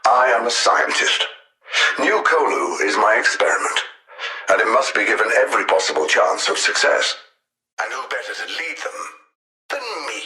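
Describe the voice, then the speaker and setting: Grandiose alien tyrant, game villain, imperious, precise diction, mid-pitched, widely swinging intonation. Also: male voice, pushed and bright, echoey room. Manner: imperious, measured, condescending, precise formal diction. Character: cold, arrogant male alien scientist tyrant, grandiose supervillain. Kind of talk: theatrical video game villain voice lines.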